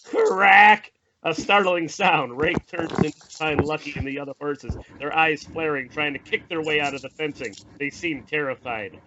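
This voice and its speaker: A man's voice: on the high side, measured pace. A man reading screenplay stage directions as narrator, dramatic and emphatic. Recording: dull audio, faint noise and clicks.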